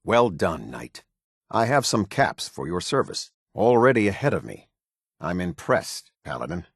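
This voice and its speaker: An American man playing a stern, formal military officer in a video game, speaking with husky, clipped, measured authority. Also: deep voice, big pitch swings, dry recording, studio quality, exaggerated voice. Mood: formal approval. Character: stern, formal male military officer of a post-apocalyptic order. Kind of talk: video game character dialogue.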